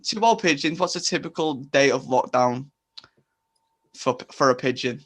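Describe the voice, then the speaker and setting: A man's voice: medium pitch, animated, moderate pace, a bit bright. A man playing a wry, straightforward podcast interviewer in a comedy audio drama.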